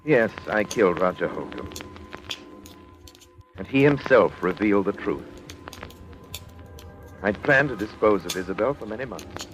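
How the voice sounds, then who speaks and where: A low-pitched, cold and controlling doctor from a vintage radio thriller. He speaks in formal, deliberate, theatrical phrases, every inch the murderous man of science, with dramatic music underneath. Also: lively intonation, a bit bright, poor audio. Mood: grim confession. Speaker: radio drama actor and narrator. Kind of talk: old-time radio suspense acting.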